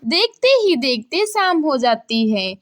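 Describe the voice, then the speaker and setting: A woman narrates a children's cartoon story from a script. Her voice sits a little high as she takes the role of storyteller. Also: very animated, takes it slowly.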